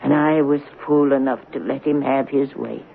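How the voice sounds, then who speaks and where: An elderly romantic spinster with a low voice for a lady, precise and reflective. She is pious and gently probing, in vintage radio drama style, heard through a narrow, slightly noisy broadcast. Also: fairly even intonation, normal speed, vocal fry. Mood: wistful. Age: older. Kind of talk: 1970s radio drama acting.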